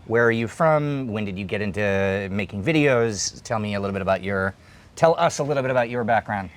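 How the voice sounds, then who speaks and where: A man's voice: fairly low pitch, a little fast. A man in his thirties hosting a food show, chatting and explaining dishes while eating at an open-air stall, on a lapel mic. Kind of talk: casual food chat and explainer.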